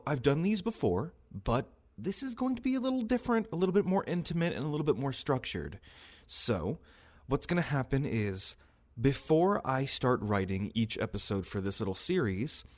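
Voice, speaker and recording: A man speaking casually and earnestly as a channel host, giving an announcement to his listeners. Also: mid-range voice. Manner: earnest, conversational. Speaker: audio roleplay creator, channel host.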